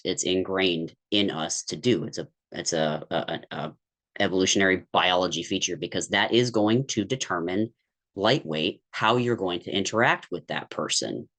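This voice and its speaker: A man's voice: sits low, normal speed, a bit bright. A man talking candidly as a podcast guest, thoughtful and rambling, with many restarts and fillers. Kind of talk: candid conversational podcast talk.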